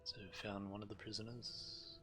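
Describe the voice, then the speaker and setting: A man's voice: lowish voice, flat delivery, normal speed, over music. A man streaming a game, casually commenting and reading quest text aloud.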